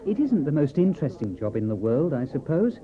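Mild programmer, lively voice; dull tape. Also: male voice, on the high side, a little fast, a crowd in the background. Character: mild male computer programmer, adult.

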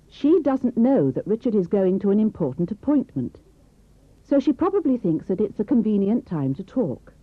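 A woman's voice: medium pitch, no room sound. Woman reading a textbook story. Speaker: narrator. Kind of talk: scripted textbook narration. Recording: dry, tinny tape.

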